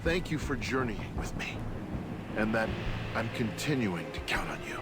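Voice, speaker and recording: An actor playing a gentle, earnest male companion in a fantasy video game. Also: on the low side, sing-song, normal speed. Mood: tender gratitude. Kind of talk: video game cutscene acting. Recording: very loud music behind.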